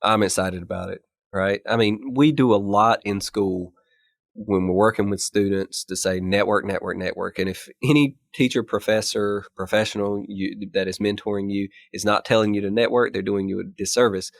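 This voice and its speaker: A man co-hosting a podcast, talking in a relaxed, explanatory way while getting over a chest cold that leaves his voice scratchy. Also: on the low side, normal speed, gravelly, no echo, studio quality. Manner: conversational, explanatory, laughs easily. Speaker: podcast co-host. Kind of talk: casual podcast chat.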